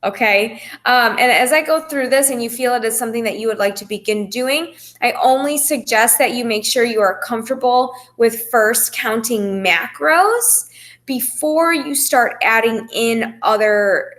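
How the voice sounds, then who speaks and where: A woman with a slightly high voice gives an informal coaching talk. She explains things step by step as a fitness and nutrition coach would, taking care to clarify and reassure her listeners. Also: unhurried, fairly bright tone. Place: phone call presentation.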